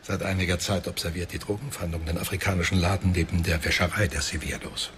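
An actor playing a businesslike police inspector, giving a factual, official briefing in a crime radio drama. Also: male voice, deep voice, steady tone, quick pace, fairly bright tone, a little hiss or hum. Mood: matter-of-fact.

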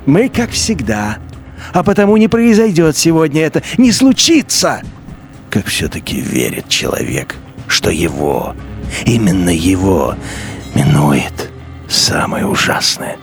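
A man narrating a radio drama in an intense, brooding literary style, with wide swings between low murmurs and sharp lifts. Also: sing-song, unhurried, vocal fry, faint music behind.

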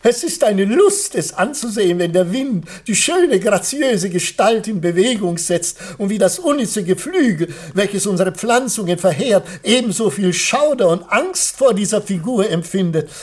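An actor playing a middle-aged, effusive artist father in a theatrical radio play, declaiming grandly in a husky voice. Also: high-pitched, lively intonation, moderate pace, few pauses, darkish tone. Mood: rapturous pride. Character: proud, rapturous father Ambrosius, besotted with his artwork.

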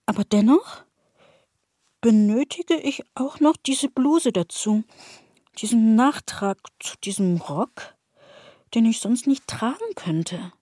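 An actress playing a fussy, needy woman who speaks deliberately and insistently in a literary radio drama. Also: a little high, expressive, dark tone, dry recording, studio quality.

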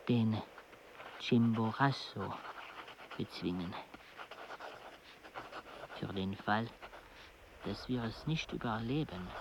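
An actor playing a weary, anxious mountain traveller in a radio play, speaking and narrating hesitantly. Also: male voice, fairly low pitch. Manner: slow, hesitant, deliberate delivery. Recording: noisy, slightly muffled outdoor soundscape.

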